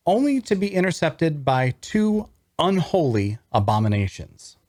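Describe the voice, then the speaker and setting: Expressive man narrating dramatic fantasy. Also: medium pitch, dry recording, studio quality. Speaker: narrator, voice actor.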